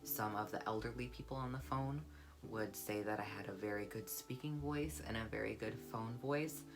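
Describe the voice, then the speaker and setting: Deep-voiced young woman, warm and comforting tone, soft-spoken and candid, guitar music underneath. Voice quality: deep, low voice. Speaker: vlogger. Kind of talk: personal vlog monologue.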